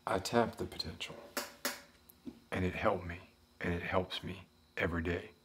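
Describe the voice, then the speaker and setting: A man playing a world-weary, philosophical former detective with a Southern American accent, musing haltingly in an ASMR roleplay monologue. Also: low-pitched, fairly even intonation, fairly bright tone, a little hiss or hum. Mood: brooding, philosophical. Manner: deliberate, halting, musing, intellectual.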